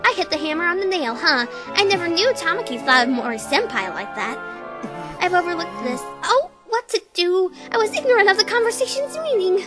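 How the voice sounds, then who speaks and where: Woman as a very high, excitable bossy schoolgirl. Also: fairly quick, over loud music. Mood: dramatic realization. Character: bossy, excitable, self-important teenage schoolgirl. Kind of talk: fan manga dub acting.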